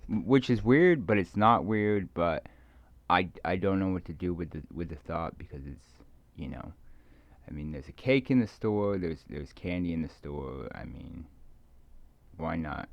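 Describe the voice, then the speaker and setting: Low, gravelly man musing haltingly, muffled recording. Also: lively intonation, slight background noise. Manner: halting, hesitant, often repeating words; musing, trailing off mid-thought. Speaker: video creator. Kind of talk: rambling casual solo monologue.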